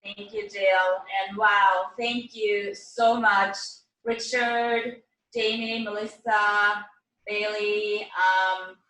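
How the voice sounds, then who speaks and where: A woman with a Swedish accent thanks a panel in her closing words, her voice set back from the microphone in a roomy space. She talks on a video call at a mid pitch, pausing now and then with small fillers. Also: steady tone, bright, forceful tone, recorded from a distance. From Sweden. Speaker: event organizer giving closing remarks.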